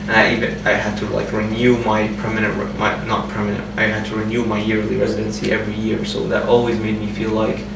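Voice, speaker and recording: A man's voice: fairly low pitch, completely flat delivery, fast talker, creaky, hardly any pauses. A man in his twenties from Jordan, speaking in a personal interview in a hesitant way with many restarts and fillers. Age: 20s. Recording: roomy sound from a distant mic with background hum.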